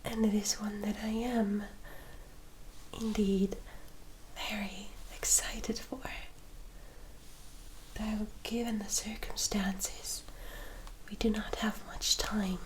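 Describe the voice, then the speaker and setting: A woman playing a polite, excitable young vampire sister in an ASMR roleplay, in a soft breathy whisper with gentle, hesitant, formal speech. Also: mid-range voice, slow pace, long pauses, slight background noise, natural voice, low-quality recording.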